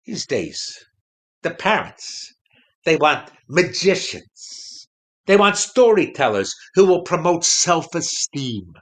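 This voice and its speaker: Griping, wildly animated wooden dummy. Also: male voice, on the high side, slow. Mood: weary, wisecracking. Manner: griping, sardonic, emphatic. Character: disgruntled, wisecracking wooden male ventriloquist dummy, aging showbiz veteran. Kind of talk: comic audio play dialogue.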